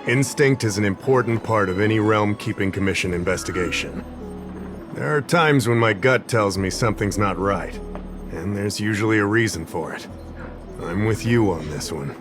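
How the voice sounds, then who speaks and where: An actor playing an affable, easygoing investigative official in a video game, conversational and explanatory. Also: male voice, on the low side, moderate pace, over music, close to an everyday voice. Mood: reassuring, official. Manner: conversational, slightly absent-minded, explanatory. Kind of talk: video game character acting.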